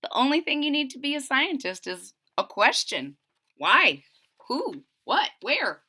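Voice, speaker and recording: A woman reading a rhyming children's picture book aloud, pausing for plain-spoken asides that explain the story to young listeners. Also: sits high, very animated, sharp tone. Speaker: read-aloud narrator.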